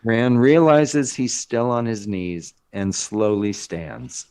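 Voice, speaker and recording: A man narrating a screenplay aloud in a dramatic, deliberate scripted style. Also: medium pitch, steady tone, darkish tone, clean tone.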